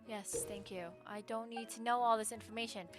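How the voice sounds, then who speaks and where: A woman's voice: fairly high pitch, level tone, fairly quick, fairly bright tone, faint music behind. A young adult woman, chatting casually and in a rambling, informal way as a game streamer. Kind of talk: casual livestream chat and game commentary.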